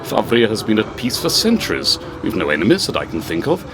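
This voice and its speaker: A man's voice: lowish voice, wildly swooping pitch, a little fast, noisy background. An actor playing a proud, protective alien colonist and father in an audio drama, speaking formally and earnestly.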